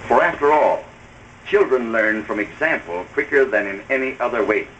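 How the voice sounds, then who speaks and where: A man's voice: mid-range voice, expressive, normal speed, a bit bright, slight background noise. A man giving formal, didactic narration in the style of an old radio advice commentator. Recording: dull vintage soundtrack with music.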